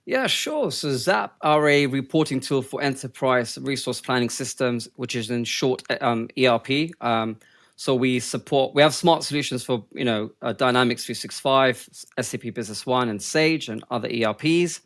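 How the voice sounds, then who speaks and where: Male guest, 30s, Kenyan-born Londoner, medium pitch, conversational interview delivery. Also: moderate pace, fairly bright tone. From born and raised in Kenya, later moved to London. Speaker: podcast guest, sales development rep. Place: home office.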